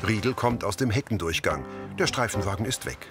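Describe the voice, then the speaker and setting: Male describer for a crime series, low voice, varied intonation, prominent music underneath. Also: lively intonation, fairly quick. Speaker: audio description narrator. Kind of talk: scripted narration of a TV crime series.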